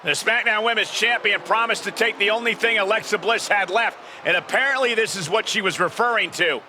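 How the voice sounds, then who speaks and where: A man's voice: high voice, fairly quick, bright, forceful tone, slight background noise. A man playing an emphatic play-by-play wrestling announcer in a video game.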